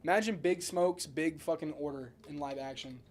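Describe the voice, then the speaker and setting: A man's voice: on the high side, moderate pace. A man co-hosting a casual podcast, talking enthusiastically with plenty of slang and swearing. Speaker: podcast co-host. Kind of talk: casual podcast chat about video games.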